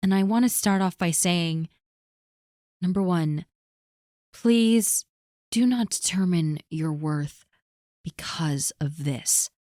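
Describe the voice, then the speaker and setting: A woman performing a scripted audio roleplay, gently and earnestly reassuring a friend who is spoken to directly. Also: lowish voice, slow, long pauses, dry recording, studio quality.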